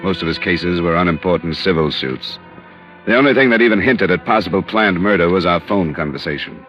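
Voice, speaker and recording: Old radio detective, american, really low voice, sober and matter of fact, muffled vintage recording. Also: male voice, brisk, a bit bright, faint music behind. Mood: sober narrating. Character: sober, dogged male freelance insurance investigator. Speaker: radio drama lead actor.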